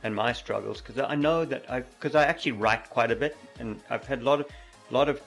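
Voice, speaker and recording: A middle-aged man with a stutter who repeats words, talking softly and openly as a podcast guest. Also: medium pitch, fairly quick, vocal fry, soft background music. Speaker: podcast guest, mountain biker. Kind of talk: casual interview chat.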